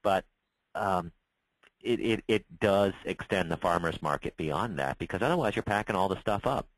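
Deep-voiced man explaining. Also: animated, moderate pace, dry recording, rough recording. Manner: conversational, frequent restarts and repeated words. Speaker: trade association spokesman. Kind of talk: webinar Q&A answer.

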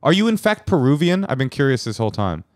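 A man's voice: medium pitch, sing-song, fast talker, a little mellow, dry recording. Podcast co-host, man, loose jokey advice, dry close mic. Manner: rambling, jokey, quotes sayings. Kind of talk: casual advice chat. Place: living room studio with patterned wallpaper. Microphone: handheld mic, headphones.

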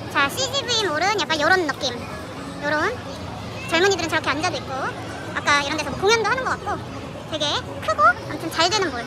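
A woman in her thirties chatting excitedly on a handheld camera while shopping in a busy mall. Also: very high-pitched, fast, a loud crowd, heavy background noise. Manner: bubbly, exclaims often. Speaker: vlogger. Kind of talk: casual vlog chat while shopping. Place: busy outdoor mall and market.

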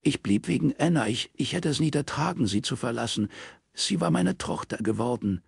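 A nervous middle-aged woman as a male narrator plays her speaks in a raised, rough-edged voice. She is defensive and halting, stumbling over words as she recalls her past. The sound is dry and close, in the manner of an audiobook. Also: mid-range voice, lively intonation, dry recording, voice pushed up, full character voice. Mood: pleading, insistent. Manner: halting, stammering, shaky.

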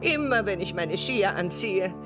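A woman voicing a kindly older grandmother, gentle and expressive, in a German cartoon dub. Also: medium pitch, a little slow, loud music behind the voice, natural voice. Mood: troubled. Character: kindly grandmother, former champion haunted by old failure.

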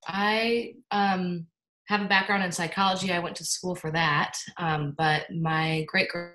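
Young american woman w a low voice talking thru a webcam from her bedroom, laid back, lots of ums. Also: unhurried. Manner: relaxed, with fillers and small laughs. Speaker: guest speaker, farmer. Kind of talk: casual presentation on a video call. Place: bedroom at home. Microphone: laptop webcam mic.

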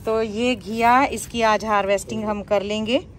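A woman's voice: medium pitch, steady tone, normal speed, a little hiss or hum. A woman casually narrating a garden tour as a vlogger.

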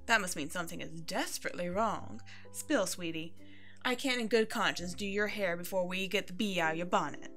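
A woman's voice: fairly low pitch, fairly quick, fairly bright tone, close to an everyday voice. Woman doing a bubbly caring hairstylist in a comfort asmr roleplay, reassuring and playful, bit of music under it. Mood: sympathetic, frank. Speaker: voice actor playing a hairstylist. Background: background music.

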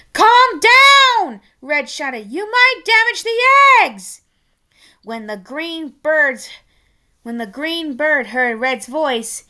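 Woman, children's storybook read-aloud, high bright voice, expressive, chatty asides. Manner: expressive, deliberate, adds playful commentary. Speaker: storybook reader.

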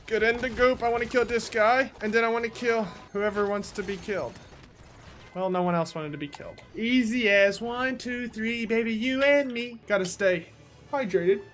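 A young man with a high-pitched voice for a man, giving playful, casual commentary while he plays, talking to himself and his viewers through a headset mic in a small room at home. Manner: playful, talks to himself and the viewers, sudden loud yelps. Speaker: gaming YouTuber.

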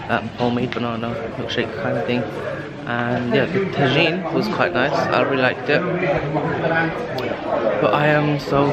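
A young man in his twenties vlogging solo while traveling, talking casually and rambling to a handheld camera. He is worn out and has a sore throat. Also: medium pitch. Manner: rambling, tired, talks to camera in fillers. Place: guesthouse room indoors, later noisy narrow street at night. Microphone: camera held at arm's length. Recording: handheld camera with clear background noise.

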